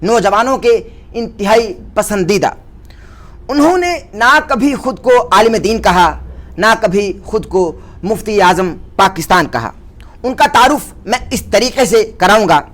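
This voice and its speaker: A man's voice: high-pitched, expressive, unhurried, a bit bright. A man hosting an interview in a formal, flowery, deferential and declamatory way. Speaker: podcast host and interviewer. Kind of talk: formal, rhetorical interview introduction and questioning.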